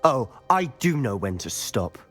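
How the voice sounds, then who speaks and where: Hot-headed schoolboy wizard, animated pitch, bitter edge, reverberant game dialogue with music. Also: male voice, unhurried. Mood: angry, bitter. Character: hot-headed teenage boy student wizard, bitter and loyal. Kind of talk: video game dialogue acting. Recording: long reverberant hall, music behind.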